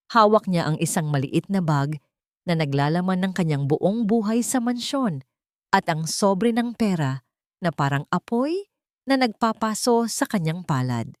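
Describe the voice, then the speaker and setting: A woman's voice: on the low side, a little mellow, dry recording, studio quality. A woman reading a scripted dramatic story as an audio narrator.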